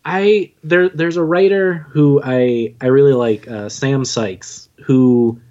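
A man's voice: mid-range voice, takes it slowly, warm tone, lo-fi sound. A man chatting casually as a podcast guest, with a joking, self-deprecating manner. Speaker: podcast guest, audio drama writer and narrator. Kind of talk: casual interview chat.

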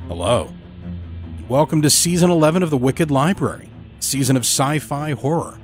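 A man's voice: a little high, animated, normal speed, faint music behind. A man hosting a podcast, reading scripted narration and announcements. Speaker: podcast host.

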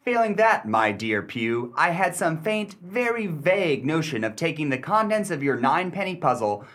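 An actor playing a cool, dry, mocking gentleman in a period radio play, speaking formally and deliberately. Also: on the high side, very animated. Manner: theatrical, deliberate, formally wordy.

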